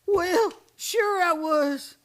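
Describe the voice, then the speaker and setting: A naive drifter of the old West, acted by a woman, speaking very slowly in folksy American speech. The voice sits high, and the manner is gentle and plain, as in a radio Western. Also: heavily acted. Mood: frightened, pleading. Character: simple, gentle drifter man, a former Union soldier. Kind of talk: Western radio play acting.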